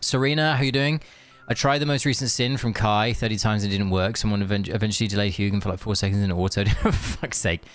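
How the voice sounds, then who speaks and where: Chatty low-voiced man streaming a game. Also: fairly even intonation, fast talker, few pauses, faint music behind. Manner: rambling, chatty, reads chat aloud. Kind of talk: casual live-stream chat.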